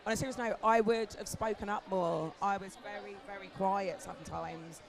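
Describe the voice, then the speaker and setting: A British woman in her forties, an agency founder talking candidly on a festival panel, her voice hoarse from talking. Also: mid-range voice, normal speed. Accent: British English. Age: 40s. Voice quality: animated, chatty, swears casually. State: hoarse, lost voice. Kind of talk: candid conversational panel talk. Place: outdoor festival stage with crowd. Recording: live event, crowd noise behind.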